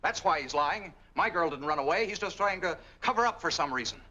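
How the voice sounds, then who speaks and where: An actor playing a hot-headed, blunt young American bridegroom in a 1940s film noir, on an old soundtrack. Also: male voice, fairly high pitch, lively intonation, brisk, sharp tone. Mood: angry, accusing. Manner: insistent, blunt. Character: hot-headed young bridegroom searching for his missing fiancée.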